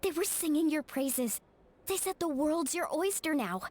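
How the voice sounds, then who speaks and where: A woman's voice: high voice, expressive, normal speed, darkish tone, slight background noise. An actress playing an upbeat, friendly young woman in a video game, with a breezy manner. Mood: cheerful.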